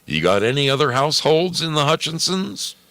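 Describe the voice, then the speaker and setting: A man voicing a brisk, formal village official, giving directions in an emphatic, businesslike way. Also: fairly high pitch, very animated, fairly bright tone, no room sound, voice pushed up.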